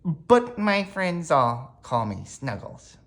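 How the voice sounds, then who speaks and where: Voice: mid-range voice, sing-song, takes it slowly. A storyteller as a tiny sheepish monster. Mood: giggly. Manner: hesitant, timid. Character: tiny, sheepish, harmless male furry monster.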